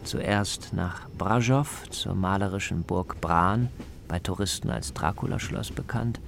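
A man narrating a scripted radio travel feature as the reporter, in a measured, descriptive way. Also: sits low, creaky, slight background noise.

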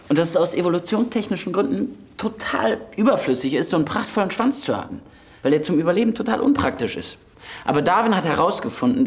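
An actor playing a casual, teasing, slightly wounded man in love in a radio play, speaking in clipped colloquial phrases. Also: fairly high pitch. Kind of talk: radio play acting with intimate monologue. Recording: music behind the voice.

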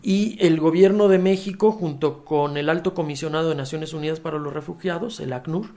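Gravelly-voiced man explaining, hesitant. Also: on the high side, fairly quick, darkish tone. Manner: hesitant, many fillers and restarts. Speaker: human rights advocate interviewed. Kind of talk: spontaneous interview answer.

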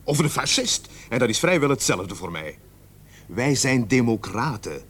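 Seasoned police sergeant with a husky voice. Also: male voice, mid-range voice, big pitch swings, normal speed, fairly bright tone. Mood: measured. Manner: patient, reasoning, authoritative. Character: seasoned, level-headed American police sergeant, idealistic but shrewd. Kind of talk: radio play acting in Dutch.

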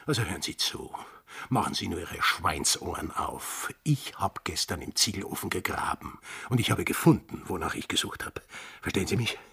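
An actor with an Austrian accent playing a bitter, sardonic sailor in a radio drama, scathing and theatrical. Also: male voice, low voice, expressive, normal speed, vocal fry, fairly bright tone, breathy edge, exaggerated voice. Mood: scathing, menacing. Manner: scathing, sarcastic, swinging from hissed whispers to bellowing. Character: bitter, sardonic sailor confronting an old war criminal.

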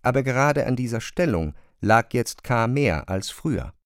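A man reads literary narration for a radio drama. His voice is mid-pitched and recorded very dry and close, with no room around it. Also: animated, moderate pace, smooth, no echo. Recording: dry studio.

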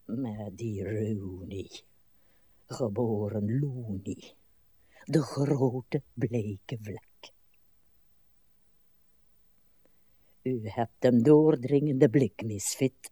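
An actress playing a weary, self-pitying, sharp-tongued old woman in a radio play, theatrical and sardonic. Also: rumbling low, extremely slow, husky, dry recording, studio quality, full character voice. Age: older.

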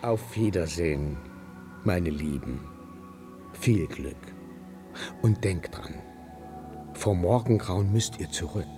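An actor playing a brisk, bossy but kindly sandman with a commanding, theatrical way of talking in a children's radio play. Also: low voice, over music. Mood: fond farewell.

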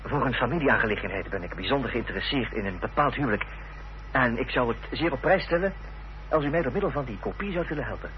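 An actor playing a courteous, inquisitive gentleman investigator in a radio drama, speaking in a polite, measured, formal way. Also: medium pitch, expressive, close to an everyday voice. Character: courteous, inquisitive gentleman investigator narrating his own search. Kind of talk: radio drama dialogue and first-person narration. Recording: muffled old radio play recording, faint hiss and hum.

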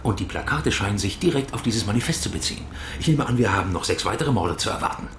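A man's voice: lowish voice, lively intonation, fast, reverberant, a little hiss or hum, natural voice. An actor in a radio drama playing a brusque male author under suspicion, curt, dry and defensive. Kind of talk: naturalistic German radio drama acting.

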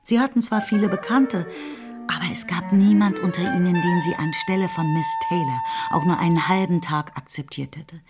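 Low-voiced woman narrating a literary radio play. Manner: measured, expressive storytelling. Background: faint music.

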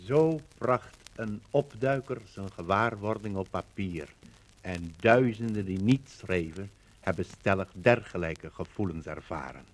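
A man's voice: fairly low pitch, lively intonation, measured pace. A man giving formal, dramatic scripted narration for a 1950s radio documentary.

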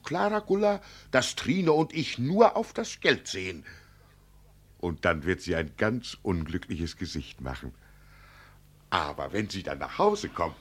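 An actor playing a doting old country father in a radio play, dreamy and playfully boastful, with a husky voice and a singsong manner. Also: mid-range voice, fairly bright tone, full character voice. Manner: slow, singsong, savouring his words. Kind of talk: German radio play acting.

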